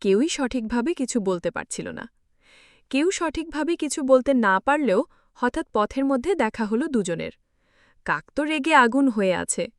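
A woman's voice: high voice, very animated, normal speed, dry recording, clean studio sound. A woman narrating a scripted children's story, expressively, as if speaking to young listeners.

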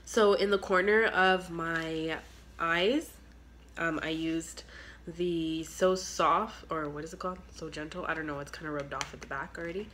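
Young woman, low voice, sick and congested, casual chatty beauty vlogger on a phone, trails into whispers. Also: fairly even intonation, moderate pace, a bit bright, a little hiss or hum. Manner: chatty, filler-heavy, trails off to near whispers. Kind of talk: casual makeup tutorial chat. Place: small bedroom at home. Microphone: phone camera at arm's length.

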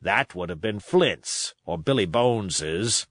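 A man's voice: on the low side, expressive, slow, fairly bright tone, no room sound, clean studio sound, heavily acted. A man reading a storybook, voicing a shrewd, domineering pirate sea cook with salty, emphatic sailor talk. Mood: sly, grave.

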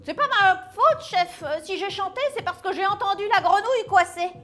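A woman's voice: very high-pitched, normal speed, fairly bright tone, faint music behind, voice pushed up. A woman voicing a male rooster who shrilly protests his innocence to the village chief. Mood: protesting.

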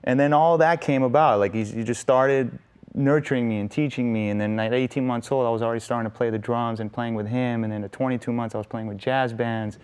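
Smooth-voiced young man telling stories. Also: medium pitch, fairly even intonation, brisk, a little mellow. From Los Angeles. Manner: chatty, lots of fillers like "you know" and "like". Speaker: guest musician. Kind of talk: casual interview storytelling. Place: indoor TV studio set.

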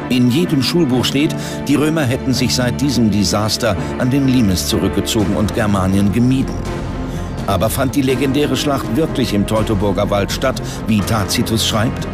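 A man reading scripted documentary narration in a measured, dramatic way. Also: medium pitch. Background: music.

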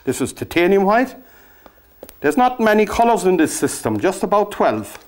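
A man's voice: high-pitched, sing-song, normal speed. An older man with a Cork Irish accent teaching a painting class in a studio, chatty and encouraging, clipped to a lapel mic. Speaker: painting instructor. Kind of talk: on-camera painting lesson. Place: studio with easel and backdrop.

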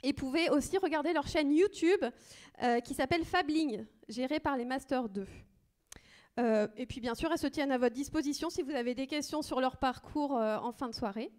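A woman's voice: high voice, moderate pace, a little mellow. A woman welcoming the audience and thanking partners on stage, with frequent hesitations. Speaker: event organizer. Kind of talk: introductory welcome speech. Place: theater stage.

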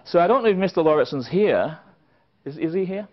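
A man's voice: fairly high pitch, animated, a little fast, background noise. A middle-aged man from England, giving a keynote speech at a conference and telling stories with dry, wry asides. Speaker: conference speaker. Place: conference hall with a seated audience. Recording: dull, lo-fi sound.

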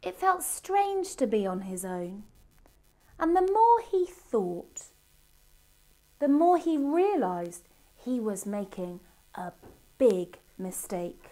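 A middle-aged woman reading a children's storybook aloud expressively, with deliberate dramatic pauses. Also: fairly high pitch, sing-song, mellow. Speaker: storybook reader. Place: indoor room by a Christmas tree. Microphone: camera a short distance away.

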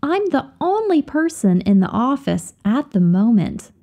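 A woman reading example sentences slowly and carefully, repeating each phrase for learners to copy. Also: a little high, very animated, dark tone. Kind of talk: slow, clear drill reading of example phrases.

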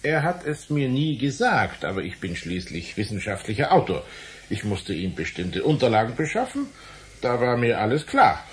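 A blustering middle-aged uncle in a radio drama. His delivery swings widely in pitch, sardonic and eloquent, with faint ambience behind it. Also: wildly swooping pitch, moderate pace, a little hiss or hum. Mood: wry, explaining. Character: indignant, wry uncle, a science writer. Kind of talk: German radio drama acting.

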